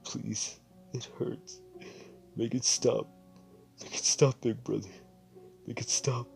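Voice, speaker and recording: A man voicing a badly hurt young boy who whimpers and pleads, in a raised, breathy voice. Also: medium pitch, fairly even intonation, slow pace, a little mellow, soft background music, pitched above the performer's own voice.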